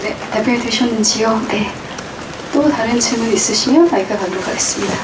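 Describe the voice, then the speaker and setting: A woman moderating a press conference, speaking in a polite, brisk and formal way. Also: a little high. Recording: echoey hall, distant mic, noisy room.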